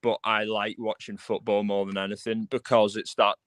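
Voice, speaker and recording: A man's voice: lowish voice, level tone, moderate pace, a bit bright, no echo, clean studio sound. Lancashire-accented man chatting casually. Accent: Northern English, Lancashire. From Northern England. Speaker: guest musician. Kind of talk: casual livestream chat.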